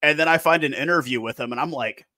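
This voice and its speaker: A man in his thirties hosting a casual video call chat from a small room on a headset, animated in his talk. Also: on the high side, fast, dry recording, clean studio sound. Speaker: host.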